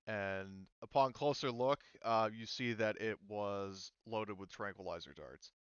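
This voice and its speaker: A man running a tabletop game as game master, talking casually and haltingly as he thinks aloud. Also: lowish voice, a bit bright, clear, resonant tone, dry recording, dull audio.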